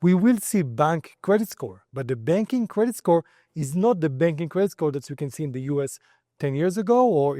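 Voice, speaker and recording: A middle-aged man with an Israeli accent explains his field as an interview guest. The voice is slightly high yet very mellow and rounded, with a dry, close sound. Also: no echo. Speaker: company founder and credit expert interviewee.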